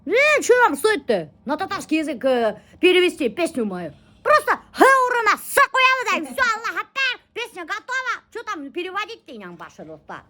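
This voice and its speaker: A woman's voice: very high-pitched, huge pitch swings, normal speed, few pauses, pushed and bright, a little hiss or hum. A woman, chatting and joking casually as a vlogger in a recording studio. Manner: chatty, joking, self-mocking. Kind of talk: casual studio vlog chat.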